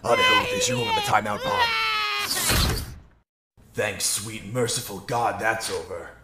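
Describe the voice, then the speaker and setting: A man voicing an arrogant, short-tempered villain in a fan parody cartoon dub, gravelly, haughty and theatrical. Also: mid-range voice, sing-song, a little slow, very noisy.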